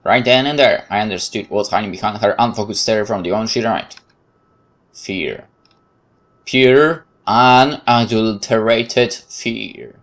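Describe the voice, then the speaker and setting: A man hosting a let's play, reading narration aloud in a measured, dramatic way with casual commentary. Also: fairly low pitch, fairly bright tone.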